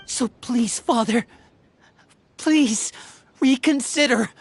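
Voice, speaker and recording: A woman's voice: on the high side, takes it slowly, slight background noise. An actress playing an earnest young man in a video game, pleading respectfully and articulately.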